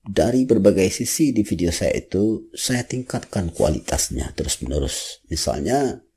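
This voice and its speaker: A man with a deep voice and a marked Minangkabau accent speaks to his channel's viewers. His delivery is lively and full of swings in pitch. His tone is dark and a little husky, earnest and frank. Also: normal speed. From West Sumatra, Indonesia. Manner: earnest, candid, emphatic with rising stresses. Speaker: YouTuber. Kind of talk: reflective talk to camera.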